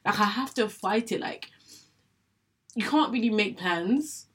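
A woman's voice: mid-range voice, lively intonation, a little slow, a bit bright, breathy edge. A young woman from England talking candidly to camera about her own life, chatty, often repeating herself and acting out her past thoughts. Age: young adult. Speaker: vlogger sharing her illness story. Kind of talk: candid personal monologue.

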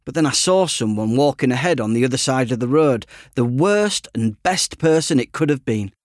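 A man narrates a first-person story in a chatty, self-deprecating way. His pitch moves about a great deal, and the sound is dry and close. He tells it expressively, as a teenage boy confiding in the listener. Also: animated, moderate pace, no echo. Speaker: author reading his own audiobook.

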